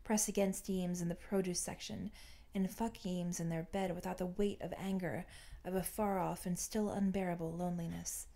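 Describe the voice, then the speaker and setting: A woman's voice: fairly low pitch, steady tone, moderate pace, creaky, few pauses, dark tone. A woman reading fan fiction aloud as scripted audiobook-style narration.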